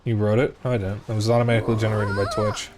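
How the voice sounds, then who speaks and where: A man's voice: fairly low pitch, flat delivery, very fast. A man with a somewhat nasal voice, casually commentating a game stream and bantering jokingly with chat in playful mock denials.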